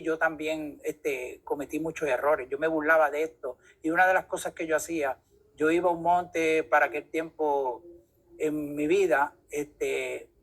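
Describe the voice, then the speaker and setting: Male testimony guest, fairly high voice, earnest, conversational, halting delivery. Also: fairly bright tone, rough recording. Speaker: guest giving religious testimony.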